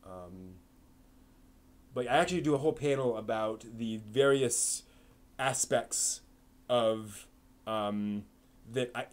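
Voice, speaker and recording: A man hosting a live stream, chatting casually and answering viewer questions, with lots of fillers and restarts. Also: medium pitch. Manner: rambling, frequent fillers and restarts.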